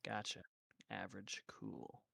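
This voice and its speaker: Guy chatting during an rpg session, bright mid voice, dry close mic. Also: lowish voice, completely flat delivery, a little slow, gravelly, dry recording. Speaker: tabletop game player. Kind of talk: casual game chat.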